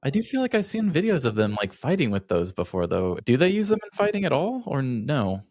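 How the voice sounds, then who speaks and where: Animated man, close dry sound. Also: mid-range voice, brisk, no room sound. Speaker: podcast co-host. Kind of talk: casual comedic chat.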